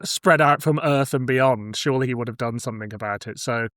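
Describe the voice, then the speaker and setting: A man's voice: medium pitch, brisk, fairly bright tone, no room sound, studio quality. A man hosting a podcast in a casual, conversational way, with a wryly joking manner. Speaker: podcast host. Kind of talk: casual chat.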